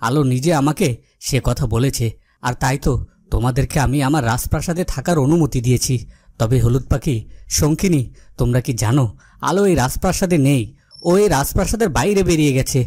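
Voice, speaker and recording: An actor playing a worried, protective cartoon king who speaks in a formal, measured, authoritative way. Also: medium pitch, a little mellow, dry recording, natural voice. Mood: questioning. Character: worried, protective fairytale king, stately and questioning. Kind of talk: dubbed cartoon fairytale acting.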